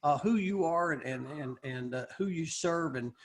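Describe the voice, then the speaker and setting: A man hosting a leadership podcast, asking questions haltingly with many fillers and a friendly enthusiasm. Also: a little high. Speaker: podcast host. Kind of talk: podcast interview.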